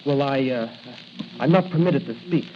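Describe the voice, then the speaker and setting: An actor playing a nervous, defensive hospital intern with a hesitant, protesting manner in a 1940s radio drama. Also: male voice, medium pitch, moderate pace, natural voice. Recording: dull, hissy 1940s radio recording.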